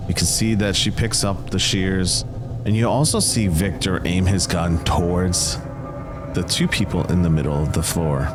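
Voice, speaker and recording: A man working as game master, narrating tabletop roleplay scenes deliberately to the players. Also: sits low, fairly even intonation. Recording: music under the voice.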